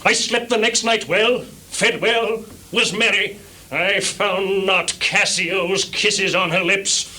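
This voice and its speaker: A jealous, tormented general, forceful and ringing. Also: male voice, sits high, fairly even intonation, full character voice. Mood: bitter, agitated. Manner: declamatory, impassioned, drawn-out oratory. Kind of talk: live Shakespearean stage tragedy. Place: small theatre stage. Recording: noisy live theatre recording, 1980s.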